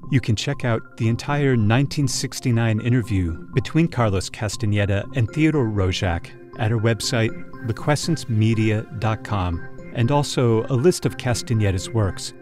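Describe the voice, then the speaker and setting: A man's voice: lowish voice, moderate pace, music in the background. A man hosting a podcast, reading a scripted closing announcement. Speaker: podcast host. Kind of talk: scripted outro narration.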